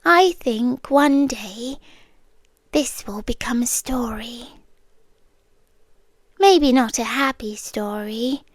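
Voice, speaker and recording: A British woman in an ASMR roleplay, voicing an obsessive young woman who has kidnapped the man she loves. She speaks in soft whispers and muses slowly and calmly. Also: a little high, no echo. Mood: brooding. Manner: soft whispering, slow musing monologue.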